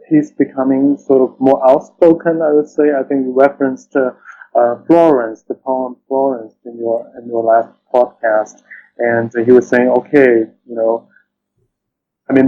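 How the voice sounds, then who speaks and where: A man's voice: medium pitch, mellow, dull audio, a little hiss or hum. A man speaking as an interview guest and translator, thoughtful and hesitant, often rephrasing as he reflects.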